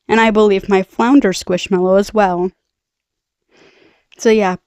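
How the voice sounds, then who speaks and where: A woman's voice: medium pitch, a little mellow, clear, resonant tone, no room sound, studio quality. A young adult woman with a nasal, congested voice, rambling casually as she recaps a film in a slangy, tangent-prone way. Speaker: video host, film recapper. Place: bedroom.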